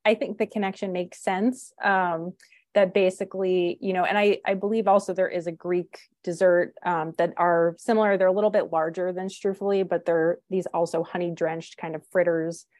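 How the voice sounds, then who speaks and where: A woman's voice: fairly low pitch, flat delivery, moderate pace, a little mellow, no echo, clean studio sound. A woman food writer who is a podcast guest, explaining carefully and hedging often.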